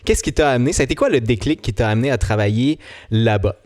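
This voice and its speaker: A man's voice: medium pitch, fast talker, darkish tone. A man, hosting a casual interview and summarizing his guest's points. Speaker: podcast host.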